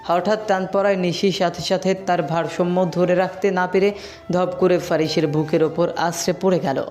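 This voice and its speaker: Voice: medium pitch, steady tone, moderate pace, a little mellow. Story narrator with music behind. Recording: background music under the voice.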